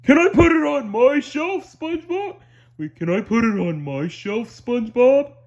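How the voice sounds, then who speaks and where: A man voicing a dim, eager, good-natured cartoon starfish, loud and simple-minded, with a husky voice. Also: very high-pitched, expressive, normal speed, muffled recording, voice pushed up. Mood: pleading.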